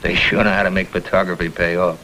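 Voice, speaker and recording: Hard-nosed lieutenant, American, blunt and forceful, lowish pitch, vintage TV crime drama. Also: male voice, level tone, quick pace, low-quality recording. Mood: sardonic. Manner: blunt, terse, world-weary. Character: hard-nosed, sceptical male police lieutenant.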